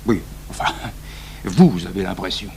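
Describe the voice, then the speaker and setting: Lowish-voiced, sceptical young magistrate. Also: male voice, moderate pace, vocal fry, a little mellow. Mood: dry, doubting. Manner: dry, formal, challenging. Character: sceptical, exacting magistrate in his thirties. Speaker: actor playing an examining magistrate. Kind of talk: French radio crime drama acting. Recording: noisy 1980s radio recording with hum.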